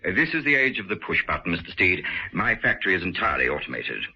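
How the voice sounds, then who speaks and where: A cold, commanding industrialist villain speaks low and husky, with a lot of swing in his pitch. His delivery is smooth and superior. It comes over a dull, lo-fi radio broadcast. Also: big pitch swings, quick pace, bright, forceful tone, exaggerated voice. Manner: smooth, clipped and condescending. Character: scheming wheelchair-bound male industrialist, cold and commanding. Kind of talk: radio drama acting.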